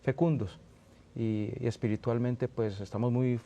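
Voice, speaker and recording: A man's voice: medium pitch, fairly even intonation, normal speed, mellow, muffled recording. A man in his thirties with a Colombian accent from Antioquia, a priest giving reflective, searching answers in an interview.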